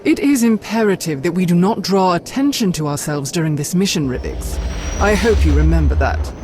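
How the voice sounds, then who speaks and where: An actress playing a stern woman Sith lord who speaks in a brisk, authoritative way. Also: fairly low pitch, lively intonation, background noise, lightly acted. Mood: commanding.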